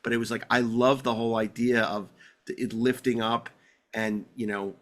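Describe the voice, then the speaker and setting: A middle-aged man with an American accent hosts a casual fan podcast. His pitch sits in the middle, and he rambles along with open enthusiasm. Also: flat delivery, moderate pace, fairly bright tone. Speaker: podcast host.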